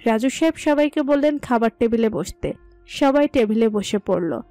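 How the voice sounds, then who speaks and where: A woman's voice: fairly high pitch, lively intonation, moderate pace, dark tone, soft background music. A woman reading a scripted story as its narrator.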